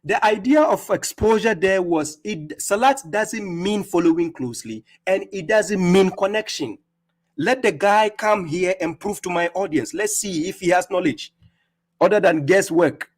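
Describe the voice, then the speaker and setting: Man with a higher voice, taunting. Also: normal speed. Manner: mocking, taunting, laughs at opponents; emphatic, repeats words when he stumbles. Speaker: livestream host. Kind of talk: combative religious livestream rebuttal. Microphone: streaming setup.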